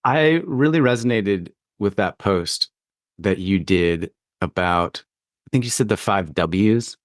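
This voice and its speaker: A man's voice: low voice, animated, slow pace, no echo, clean studio sound. A man interviewing a guest in a reflective, conversational style, with thoughtful and articulate phrasing. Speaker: podcast interviewer.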